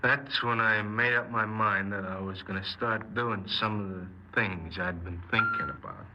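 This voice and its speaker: A man's voice: on the low side, steady tone, normal speed, natural voice. An actor playing a cold, embittered young man confessing his crimes in a 1950s radio crime drama, speaking tersely and guardedly. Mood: halting confession. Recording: noisy, muffled 1950s radio broadcast.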